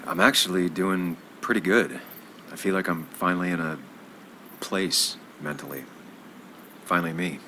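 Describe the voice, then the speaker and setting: An actor playing an earnest, caring journalist, troubled but steady, in a video game. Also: male voice, sits low, moderate pace, long pauses, slight background noise. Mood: sincere.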